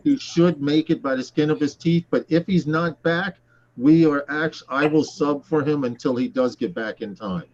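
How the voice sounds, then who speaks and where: A man co-hosting a casual live show, rambling loosely and often clearing his throat. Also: on the high side, moderate pace, a little mellow, muffled recording. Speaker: livestream co-host.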